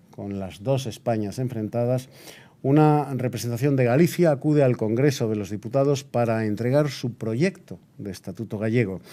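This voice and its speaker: A man's voice: medium pitch, mellow, close to an everyday voice. A man playing a solemn, indignant Spanish radio newsreader of 1936, reading a formal, declamatory bulletin. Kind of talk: scripted period radio news bulletin.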